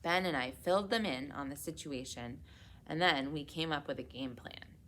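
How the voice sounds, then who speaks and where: Female children's read-aloud reader, slightly low voice, unhurried expressive narration, noticeable background noise.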